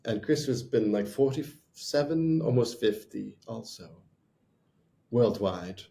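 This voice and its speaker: A man's voice: lowish voice, lively intonation, slow, vocal fry, mellow. A man who is a guest co-host of a wine retreat, chatting casually, agreeing often and laughing easily. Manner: brief affirming interjections, laughs easily. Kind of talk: casual chat.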